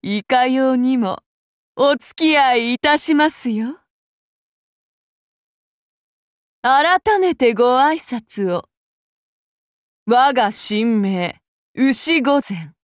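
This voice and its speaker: A woman playing a soft-spoken, menacing female demigod avenger in an anime game, using archaic, formal and polite speech. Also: high voice, no echo, studio quality. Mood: composed, proud. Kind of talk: anime mobile game voice lines.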